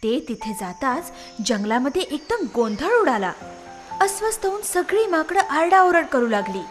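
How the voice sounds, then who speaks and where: Female narrator, children's story, high pitch, lively intonation, scripted delivery. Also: normal speed, soft background music.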